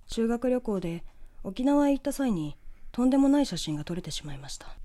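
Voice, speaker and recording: A woman reading a scripted horror story in the first person, with measured storytelling delivery. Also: medium pitch, warm tone. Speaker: ghost story narrator.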